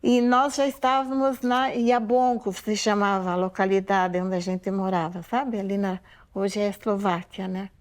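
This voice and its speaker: A woman's voice: medium pitch, little pitch movement, moderate pace. A woman in her eighties from Poland, giving a reflective, unscripted spoken testimony and often checking in with tag questions. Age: 80s. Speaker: museum oral-history interviewee.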